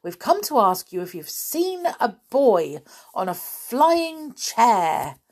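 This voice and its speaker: A woman performs a brisk young male adventurer from a children's tale. He is emphatic and easily exasperated, with a lively, swooping pitch. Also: full character voice. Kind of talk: children's storybook character voice.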